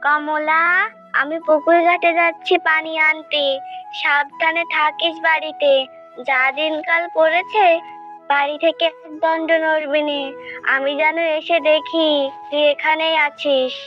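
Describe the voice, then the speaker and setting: A woman's voice: sits high, level tone, unhurried. A woman voicing an anxious, scolding village mother, a poor farmer's wife, in a cartoon. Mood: stern. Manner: fretful, nagging. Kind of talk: Bengali moral-story cartoon dubbing. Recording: muffled audio with background music.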